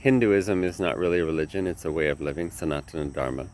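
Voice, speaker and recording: Deep-voiced man, slightly nasal, rambling. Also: fast talker, darkish tone, slight background noise. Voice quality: nasal from a sinus infection. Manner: rambling, self-correcting, earnest. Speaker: yoga teacher. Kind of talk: casual talk to camera.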